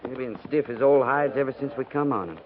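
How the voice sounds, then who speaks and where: An American actor playing a blunt, folksy, wry frontier cavalry sergeant in a 1950s radio western. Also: male voice, mid-range voice, fairly quick, a little hiss or hum, natural voice. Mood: blunt, resigned. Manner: folksy, wry, drawn from rustic speech. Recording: tinny old radio recording, busy background.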